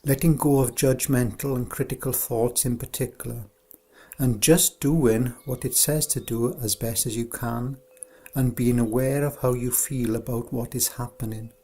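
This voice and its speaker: A man narrating a scripted guided meditation in a soothing, unhurried, gentle way, as an instructor. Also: medium pitch, steady tone, husky.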